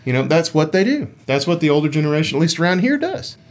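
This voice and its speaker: A man's voice: fairly high pitch, very animated, fast. A man co-hosting a gaming podcast, chatting casually in a playful, rambling, explanatory way. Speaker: podcast co-host.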